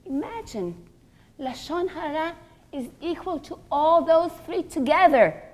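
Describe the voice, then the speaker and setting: A woman's voice: high voice, expressive, measured pace, darkish tone. A woman giving a religious lecture, teaching emphatically, repeating key phrases and posing rhetorical questions.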